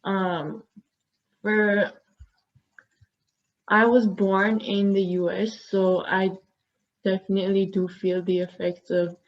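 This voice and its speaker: A woman's voice: mid-range voice, level tone, takes it slowly, long pauses. A young woman with an Armenian-American accent talks through a panel answer in a fairly level voice, earnest and given to small laughs. The sound is muffled and lo-fi. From United States. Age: young adult. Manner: earnest, laughs often, many ums. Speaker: student panelist. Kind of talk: panel discussion.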